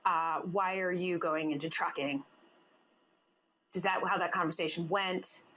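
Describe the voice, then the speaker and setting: A woman's voice: fairly low pitch, fairly even intonation, a little slow, bright, forceful tone, slight background noise. A woman hosting an interview show, bubbly and teasing, laughing often as she banters with her guest. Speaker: podcast host. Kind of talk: casual interview chat.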